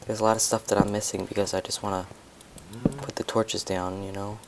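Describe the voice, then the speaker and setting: Young guy losing his voice, gravelly and chatty, rambling over his gameplay, noisy mic. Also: on the low side, flat delivery, fairly quick. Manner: chatty, rambling, talks to viewers while playing. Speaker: gameplay commentator. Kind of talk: casual gameplay commentary.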